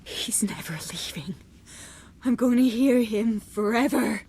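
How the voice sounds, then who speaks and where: A shattered Jedi in a game drama. Her voice is extremely husky and breathy, and she speaks slowly, halting and full of anguish. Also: on the high side, mellow, heavily acted. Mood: horrified despair. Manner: halting, haunted. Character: traumatised Jedi woman freed from possession, anguished. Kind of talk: video game cutscene acting.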